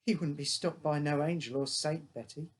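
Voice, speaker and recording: A man voicing a knowing young girl from the council flats in a horror story, colloquial and slightly bitter. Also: on the high side, normal speed, close to an everyday voice. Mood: bitter, reassuring. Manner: colloquial, matter-of-fact, knowing. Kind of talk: acted dialogue in a read-aloud horror story.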